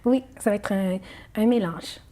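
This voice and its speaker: A woman's voice: medium pitch, moderate pace, mellow. A woman singer whose first language is Arabic, answering interview questions in a hesitant way, with many fillers and restarts.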